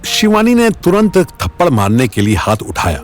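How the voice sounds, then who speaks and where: A man's voice: fairly high pitch, wildly swooping pitch, normal speed, faint music behind. A man narrating a scripted audio story in a dramatic style.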